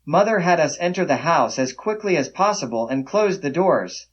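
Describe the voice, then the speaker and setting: Man voicing a solemn frontier memoirist, husky, slightly high voice, formal florid storytelling, dull muffled recording. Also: expressive, moderate pace. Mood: solemn, grave. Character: man recounting his frightening boyhood frontier ordeal, solemn memoirist. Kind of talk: dramatic reading of an old memoir. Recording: dull, band-limited sound.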